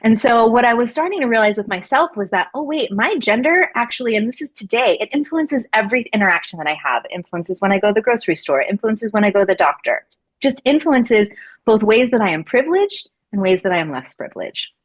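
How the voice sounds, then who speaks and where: A woman's voice: fairly high pitch, animated, fast talker. A woman in her forties from Florida, a laboratory medicine researcher talking casually as an interview guest, with many fillers and restarts. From Florida, USA. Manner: chatty, many fillers and restarts, laughs easily.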